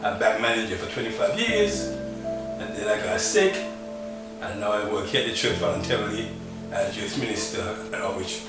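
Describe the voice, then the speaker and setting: A man's voice: fairly low pitch, lively intonation, normal speed. Man, 50s, church youth minister; plainspoken, earnest, heard from afar in a very roomy space with music under. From Camden, New Jersey. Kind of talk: documentary interview. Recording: roomy echo from a distance with music behind.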